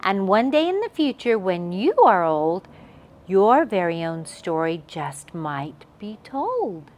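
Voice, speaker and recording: A woman's voice: medium pitch, very animated, slow, a little mellow. Woman as tender storybook chickapig mother.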